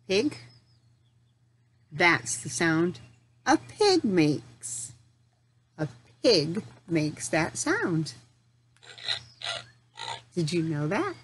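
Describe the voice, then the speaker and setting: Slow, lively woman teaching young children. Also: fairly low pitch, slightly rough. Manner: questioning, encouraging, simple phrasing with pauses for answers. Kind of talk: interactive lesson for young children.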